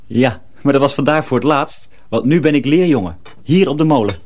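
Man playing a husky, eager young apprentice boy. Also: medium pitch, animated, a little fast, darkish tone, natural voice. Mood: wary, eager. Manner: eager, childlike. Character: small, naive, eager fourteen-year-old apprentice boy. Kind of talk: radio drama acting.

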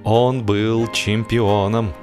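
A man narrating a humorous story as an audiobook reader, in an expressive, theatrical storytelling manner. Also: on the low side, very slow, over music.

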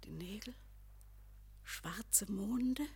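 An actress playing a weary, fearful, brooding lady in a poetic radio play, with a dramatic, halting delivery. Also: mid-range voice, a little hiss or hum. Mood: whispered dismay. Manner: dramatic, halting, drifting into breathy hush. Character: weary, fearful, brooding lady sensing sudden old age.

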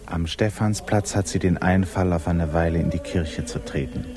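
A man narrating a 1950s German literary radio drama with measured, intimate storytelling. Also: low-pitched. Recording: hissy 1950s radio recording.

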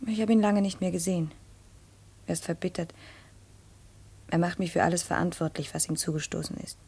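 A lonely, gentle young woman in a fifties German radio drama. Her voice is soft-toned, lowish and extremely breathy, with a sorrowful temper. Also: steady tone, brisk. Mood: sorrowful, hushed. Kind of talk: 1950s German radio play acting.

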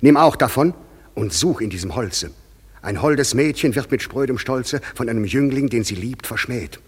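An actor playing the proud, commanding fairy king in a classic verse radio play, with a measured, stately delivery. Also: mid-range voice, expressive, husky, soft background music.

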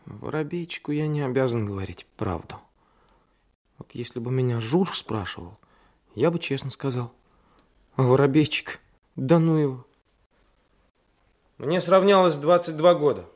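An actor playing a shy, honest, stubborn young working-class lad in a radio drama, speaking plainly and hesitantly. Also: male voice, mid-range voice, expressive, normal speed, pauses often, natural voice. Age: young adult.

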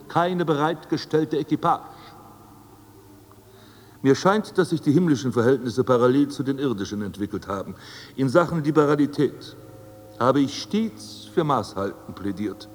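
A man's voice: mid-range voice, animated, moderate pace, a little hiss or hum, close to an everyday voice. A man playing a haughty, self-assured dead aristocrat in a radio drama, speaking in formal, ornate and pompous phrases. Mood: indignant. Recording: long hall reverb, music underneath.

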